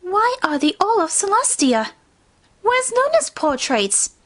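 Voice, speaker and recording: A young cartoon mare with an extremely high, swooping voice. She is petulant and full of herself, yet insecure underneath, and plays it theatrically. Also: very animated, a little slow, fairly bright tone, heavily acted. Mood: astonished. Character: haughty yet insecure young female pony, sulky. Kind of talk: cartoon fan fiction voice acting.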